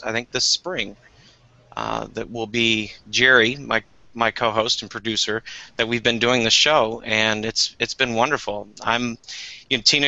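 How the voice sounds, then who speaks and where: A man's voice: medium pitch, bright, forceful tone, no room sound, dull audio. An American man speaking enthusiastically and conversationally as a guest on an online video call. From United States. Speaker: teacher and podcaster, panel guest. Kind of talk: informal conversational introduction.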